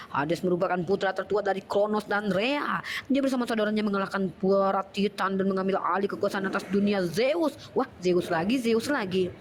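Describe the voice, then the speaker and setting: A woman's voice: medium pitch, a little hiss or hum. A woman with a Medan accent hosting an online discussion, reading passages aloud with playful, mocking comments. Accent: Medan Indonesian. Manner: playful, mocking, laughs at her own reading. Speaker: host.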